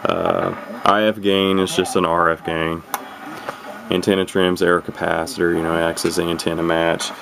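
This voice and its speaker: Deep voiced guy doing a casual hobby gear demo, rambly and matter of fact, bit of background noise. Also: little pitch movement, moderate pace, gravelly. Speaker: radio hobbyist presenting a receiver. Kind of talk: casual unscripted demonstration talk.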